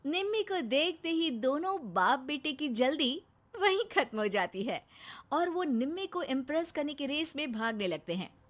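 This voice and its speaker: A woman's voice: high voice, very animated, normal speed. A woman narrating a scripted moral story for a cartoon.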